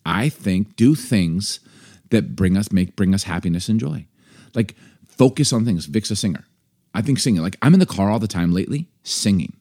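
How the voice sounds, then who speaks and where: A man co-hosting a casual faith podcast, animated and jokey, often laughing. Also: on the low side, moderate pace. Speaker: podcast co-host.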